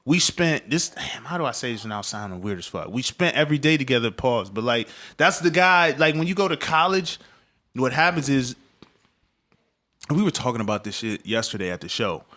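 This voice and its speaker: A man from Cleveland telling stories in a casual, profane podcast chat. He is animated and laughs often. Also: medium pitch, brisk. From Cleveland, Midwest US. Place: podcast studio.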